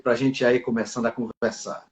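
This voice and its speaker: A man's voice: medium pitch, level tone, a little fast, a little hiss or hum. A man hosting a live video interview, chatty and full of fillers and asides. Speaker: live stream host. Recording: echoey, dull lo-fi stream audio.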